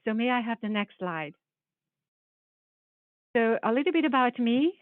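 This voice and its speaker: A woman with a Hungarian accent, a biology professor giving an earnest, halting panel talk over a video call. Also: a little high, lively intonation, no echo. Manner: hesitant, restarts phrases, earnest. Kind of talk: panel presentation with slides. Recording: tinny phone-like call audio.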